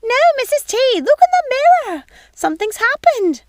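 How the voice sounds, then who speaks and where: A woman's voice: very high-pitched, sing-song, normal speed, darkish tone, no room sound, clean studio sound, voice pushed up. A woman voicing a flustered, fretful female servant in an audiobook, with urgent, exclaiming delivery. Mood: alarmed, urgent. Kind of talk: audiobook character voice.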